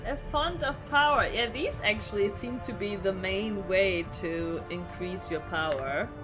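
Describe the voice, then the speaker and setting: Female live gameplay streamer, mid-pitched, leisurely pace, informal chat, thinks aloud. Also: measured pace. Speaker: game streamer. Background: game music.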